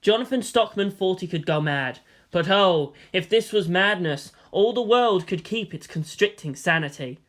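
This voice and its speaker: A theatrical storyteller reads scripted fiction with great expression. The pitch moves in a lively, animated way, giving the prose a dramatic shape. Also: normal speed. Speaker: audiobook-style narrator of fan fiction.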